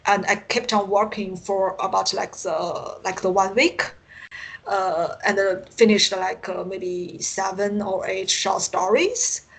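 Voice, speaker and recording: A woman from China with a Chinese accent, a native Chinese speaker telling stories as an interview guest in halting English, searching for words and often chuckling. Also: mid-range voice, steady tone, a bit bright, breathy edge, poor audio. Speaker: interview guest, science fiction writer and translator. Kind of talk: casual interview storytelling. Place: remote internet call.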